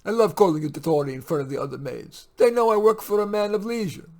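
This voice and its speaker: A man voicing a chatty, teasing older Italian housemaid with an Italian accent, giggly and animated. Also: sits high, quick pace, vocal fry, pitched above the performer's own voice.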